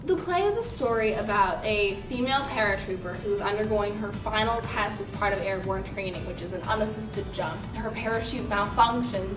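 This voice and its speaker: Young woman in a roomy space. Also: medium pitch. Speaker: student theater director. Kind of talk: explanatory interview. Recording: roomy, distant mic, music behind. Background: background music.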